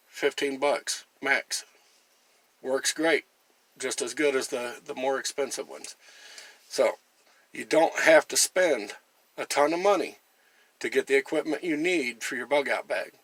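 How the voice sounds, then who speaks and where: A man's voice: medium pitch, fairly bright tone, no echo. A man talking casually through a product demonstration in a plain-spoken, folksy and chatty way. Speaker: gear reviewer and camper.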